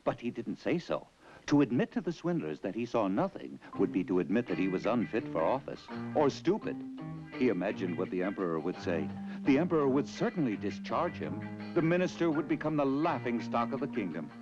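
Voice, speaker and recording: A man's voice: mid-range voice, big pitch swings, fairly quick. A man narrating a scripted fairy tale as a theatrical storyteller. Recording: dull lo-fi old tape, music behind.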